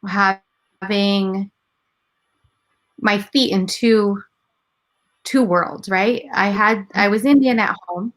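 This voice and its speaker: A woman's voice: mid-range voice, takes it slowly, long pauses, poor audio. A woman in her thirties whose first language is Gujarati, telling her story in a casual interview and often quoting her own past thoughts. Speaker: guest, entrepreneur and mother.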